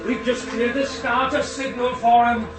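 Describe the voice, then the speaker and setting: A man playing a gruff, stubborn, harried railway signalman and father in a radio drama, blunt, urgent and rough-voiced. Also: extremely high pitch, little pitch movement, moderate pace, soft background music. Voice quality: rough, husky.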